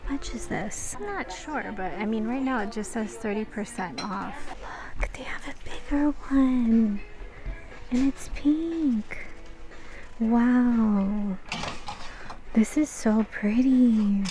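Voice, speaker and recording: A woman's voice: a little high, takes it slowly, dark tone, soft background music. A woman doing a casual shopping vlog, chatting and thinking aloud as she browses, recorded out in noisy public stores. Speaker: shopping vlogger. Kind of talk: casual shop-with-me vlog chat. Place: inside busy stores and a mall. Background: shop and mall background noise.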